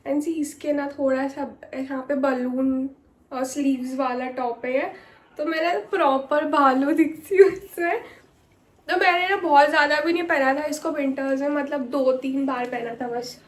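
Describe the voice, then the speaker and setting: Young woman, high voice with sore throat. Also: fairly even intonation, normal speed. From India. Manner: chatty, rambling, many fillers. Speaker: vlogger. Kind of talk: casual chat to camera. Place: at home in her bedroom.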